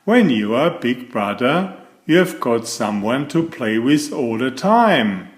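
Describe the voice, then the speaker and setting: Man playing an excitable little storybook snail, lively pitch. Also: measured pace. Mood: eager. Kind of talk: children's storybook character voice. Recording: echoey room.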